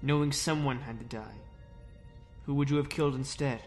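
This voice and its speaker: A man as a calm, earnest young soldier. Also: medium pitch, moderate pace, soft background music, natural voice. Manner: calm, conciliatory.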